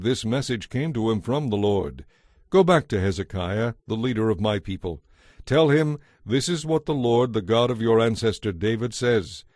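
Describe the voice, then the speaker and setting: A man's voice: on the low side, a little mellow, dry recording, studio quality. A man reading scripted narration for a dramatized audio Bible.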